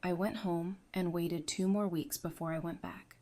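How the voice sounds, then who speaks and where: A woman acting as a composed, resolute survivor in an apocalypse audio drama. Her voice is very mellow and slightly low, and she speaks in a measured, earnest manner. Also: fairly even intonation, lightly acted. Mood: grieving.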